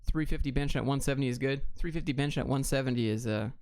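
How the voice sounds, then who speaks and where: A man's voice: medium pitch, quick pace, darkish tone, no room sound, clean studio sound. An American man hosting a casual livestream, reading out questions and answering them in a blunt, clipped, offhand way. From Atlanta, Georgia, United States. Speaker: livestream host.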